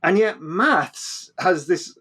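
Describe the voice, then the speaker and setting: A man's voice: high voice, expressive, slow, a bit bright, husky. A man with a British accent explains ideas informally as an interview guest, often repeating words and restarting his sentences. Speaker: podcast guest, physicist and author.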